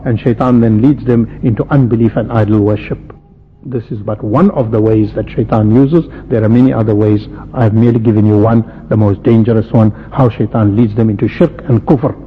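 Impassioned preacher in a lo-fi broadcast. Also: male voice, slightly rough. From South Africa. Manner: impassioned, rhetorical questions, swinging between loud peaks and soft low asides. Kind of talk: sermon. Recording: lo-fi phone-like radio broadcast with faint hiss and long hall reverb.

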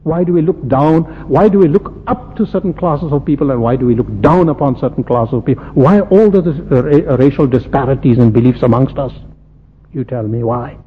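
Preacher in a reverberant hall. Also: male voice, mid-range voice, fairly quick. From South Africa. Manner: emphatic, rhetorical questioning and repetition. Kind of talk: impassioned sermon. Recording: muffled, reverberant hall with background noise.

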